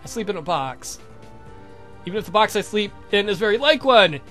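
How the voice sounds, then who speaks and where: A man's voice: high voice, animated, brisk, bright, forceful tone, soft background music. A man voicing a courtly, lovestruck vampire count from a visual novel game, speaking formally and earnestly. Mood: earnest confessing. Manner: formal, verbose, confessing.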